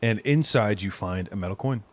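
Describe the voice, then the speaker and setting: A man's voice: fairly low pitch, normal speed, slight background noise. A man narrating a tabletop game as the game master.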